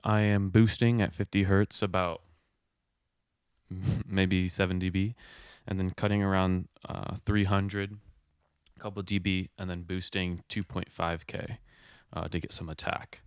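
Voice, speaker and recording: A man in his twenties talking casually and low-key as he explains his home recording setup into a close mic. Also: level tone, unhurried, clear, resonant tone. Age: 20s. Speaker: musician and host of a home recording video. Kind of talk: casual explanatory vlog talk. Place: small home studio room, indoors. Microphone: close microphone, handheld or on a stand.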